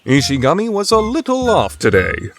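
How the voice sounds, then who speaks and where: A man's voice: sits high, wildly swooping pitch, moderate pace, full character voice. A man voicing a wry narrator in an anime fandub radio play, reading scripted narration.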